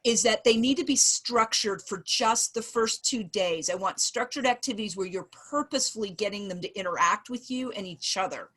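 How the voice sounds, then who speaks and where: A woman's voice: medium pitch, moderate pace, hardly any pauses, fairly bright tone, breathy edge. Woman in her forties leading a call. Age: 40s. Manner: organized, summarizing in numbered points. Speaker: program facilitator. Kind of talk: leading a video call meeting. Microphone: video call.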